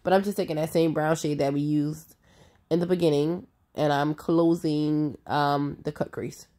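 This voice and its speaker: A sassy woman in her thirties, nasal from a cold, narrating her own makeup tutorial. Her voice sits low and has a warm, relaxed tone. She speaks emphatically and talks to viewers like a close friend. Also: moderate pace. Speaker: makeup artist.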